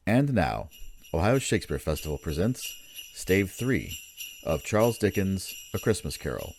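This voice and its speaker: A man's voice: deep voice, very animated, normal speed, a little mellow, slight background noise. A man announcing scripted sponsor messages and introductions for a radio play.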